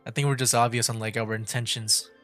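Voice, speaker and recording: A man's voice: medium pitch, steady tone, fast, fairly bright tone, faint music behind. A man hosting a game livestream with casual commentary, musing aloud in a rambling way. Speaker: livestream gamer host.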